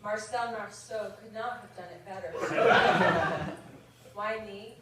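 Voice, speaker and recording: A woman poet reading her own comic verse aloud at a conference, with measured comic timing. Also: medium pitch, very noisy. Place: indoor conference reading room. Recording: very echoey room from far off. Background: audience laughter.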